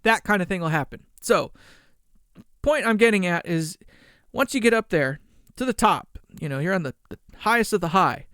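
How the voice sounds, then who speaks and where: A man with a high-pitched voice, ranting bluntly in a vlog with casual swearing. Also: expressive, normal speed, fairly bright tone, no room sound, clean studio sound. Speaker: gear channel vlogger. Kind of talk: opinion monologue vlog.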